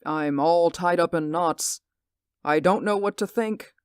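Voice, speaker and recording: A man's voice: a little high, a little slow, clear, resonant tone, dry recording, studio quality, lightly acted. An actor playing a jittery, obsessed man who is haunted by what he sees in a neighbor's window, in 1940s radio drama style, speaking anxiously with stammers. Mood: uneasy.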